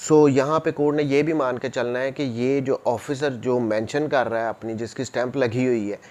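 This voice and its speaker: A man's voice: mid-range voice, fairly even intonation, normal speed, darkish tone. Pakistani man giving an explanatory law lecture. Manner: mixes English legal terms into explanations, repeats points for clarity. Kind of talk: explanatory lecture, reading statutes and explaining them.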